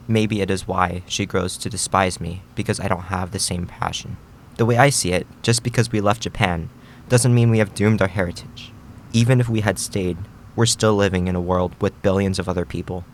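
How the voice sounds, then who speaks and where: A man playing a gentle, reflective middle-aged Japanese immigrant father in an audio drama, speaking in a measured, reassuring way. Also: deep voice, a little hiss or hum, close to an everyday voice.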